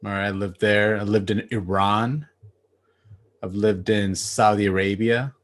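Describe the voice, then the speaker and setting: A man from Bangladesh in his late thirties with a fairly low voice, talking loosely as a podcast guest. He fills his talk with lots of likes and you-knows and laughs easily. He sounds like he is on a video call. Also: a little slow. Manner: relaxed, laughing, lots of fillers. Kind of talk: casual interview chat.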